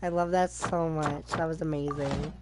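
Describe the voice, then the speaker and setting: A woman giving casual, giggly and expressive reaction commentary while playing a video game. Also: low voice, moderate pace, heavy background noise. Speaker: gaming content creator. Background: game music.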